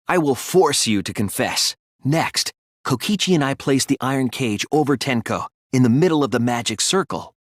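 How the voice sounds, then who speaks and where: A man voicing an earnest young male detective in a video game, thoughtful and anguished but resolute, with deliberate, analytical phrasing. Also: medium pitch, dry recording, clean studio sound. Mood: urgent, determined. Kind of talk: dramatic video game voice acting, deductive explanation.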